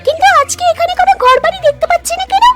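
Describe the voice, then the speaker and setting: Squeaky mischievous crow chick. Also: female voice, very fast, a bit bright, soft background music. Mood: eager, mischievous. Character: mischievous young crow chick, playful little wrecker. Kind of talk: cartoon story voice acting.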